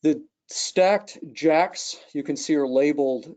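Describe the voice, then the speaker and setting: A man's voice: fairly high pitch, takes it slowly, darkish tone. A man presenting as an engineer in a webinar, talking through technical details unscripted over an online call. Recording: dull, lo-fi webcast audio.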